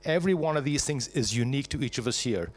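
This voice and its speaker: Punchy Scottish man pitching live. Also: lively intonation, fast talker. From Scotland. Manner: jokey, punchy, asks and answers own questions. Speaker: startup presenter pitching. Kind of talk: live startup pitch to an audience.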